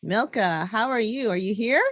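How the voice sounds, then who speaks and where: A woman's voice: fairly high pitch, lively intonation, normal speed, a bit bright, no echo, clean studio sound. A woman hosting an online interview, speaking in an upbeat, enthusiastic way. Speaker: host.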